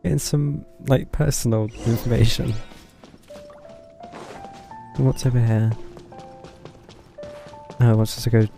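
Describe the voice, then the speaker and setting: A man's voice: mid-range voice, steady tone, measured pace, vocal fry, long pauses, mellow, soft background music. A man streaming a game, commenting casually in a questioning, musing way and reading text aloud.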